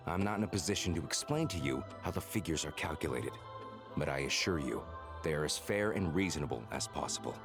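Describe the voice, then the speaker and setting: An actor playing a stern, officious soldier in a video game, speaking formally and curtly. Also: male voice, low-pitched. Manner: formal, curt, unyielding. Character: stern, officious male soldier on delivery duty. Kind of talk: video game character dialogue.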